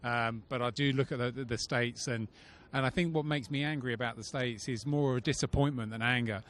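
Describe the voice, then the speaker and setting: A man from London, speaking in a measured, reflective way in a street interview. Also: mid-range voice, steady tone, a bit bright, slight background noise. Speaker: passerby interviewee. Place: outdoors on a city street.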